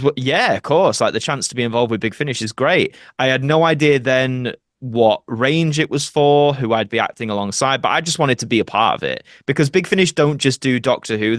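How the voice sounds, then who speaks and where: A man with a Yorkshire accent chats as a guest in a casual interview. His voice sits at an average pitch, and he tells colloquial, meandering stories like an actor among friends. The sound is close and dry, with no room echo. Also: a bit bright. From Doncaster, Yorkshire, England. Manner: chatty, colloquial, rambling anecdotes.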